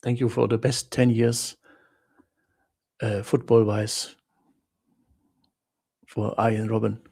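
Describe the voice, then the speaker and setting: A German man with a German accent rambles through a casual live chat. He talks slowly and haltingly, with many restarts. His voice is gravelly and a little husky. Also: on the low side, flat delivery, a little mellow. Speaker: livestream host answering viewer questions.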